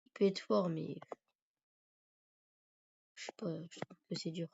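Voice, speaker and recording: A man's voice: sits high, steady tone, unhurried, vocal fry, heavy background noise. A man with a cold and a sore throat, rambling hesitantly through casual commentary over his gameplay. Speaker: gaming YouTuber. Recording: dull lo-fi recording.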